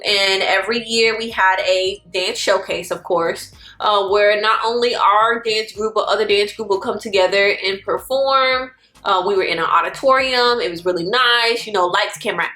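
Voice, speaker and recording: A woman's voice: medium pitch, moderate pace, pushed and bright. A woman in her twenties from Florida, chatting casually and playfully to her viewers while getting ready, now and then slipping into song. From Florida, United States. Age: 20s. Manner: chatty, playful, drawls slang and sings lines. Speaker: vlogger. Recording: echoey room with faint music.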